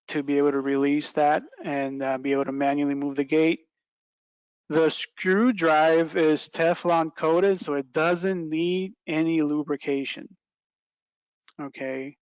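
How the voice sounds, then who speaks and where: A man's voice: fairly high pitch, smooth, dry recording, lo-fi sound. A middle-aged man giving an informal product presentation on a video call, explaining step by step and checking in with "right" and "okay". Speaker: product trainer presenting a webinar. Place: small plain indoor room, video call.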